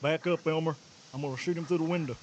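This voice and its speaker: A man's voice: fairly high pitch, steady tone, quick pace, highs cut off, natural voice. A man voicing a blunt, confident small-town sheriff, drawling and commanding, in an old radio recording with hiss. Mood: gruff.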